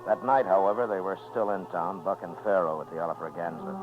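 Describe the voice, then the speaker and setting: A man playing a calm, steely American Old West marshal in a 1950s radio western, speaking in a laconic, measured way. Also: deep voice, music in the background, lightly acted. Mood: narrating. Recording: dull vintage radio recording.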